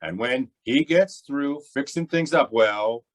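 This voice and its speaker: A man's voice: medium pitch, animated, takes it slowly. A man playing a cocky, stubborn racketeer boss in an old time radio crime drama, with brash, slangy tough-guy talk. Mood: smug.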